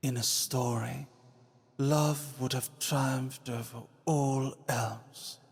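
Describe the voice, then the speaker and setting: A man's voice: medium pitch, fairly even intonation, husky, heavily acted. A man playing a wistful, theatrical storyteller, narrating a visual novel in a lyrical scripted style. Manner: lyrical, deliberate.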